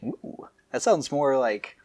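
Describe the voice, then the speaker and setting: Male amateur story narrator, creaky mid-pitched voice, dramatic but jokey, drops into casual asides. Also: very animated, slow pace, a crowd in the background. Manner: jokey, laughs at the text. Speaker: host and narrator.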